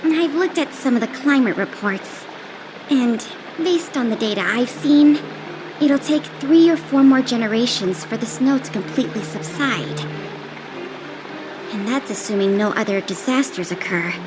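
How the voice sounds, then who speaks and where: A woman's voice: fairly high pitch, normal speed, noisy background, close to an everyday voice. A woman playing a friendly, pragmatic young woman in a game cutscene. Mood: matter-of-fact.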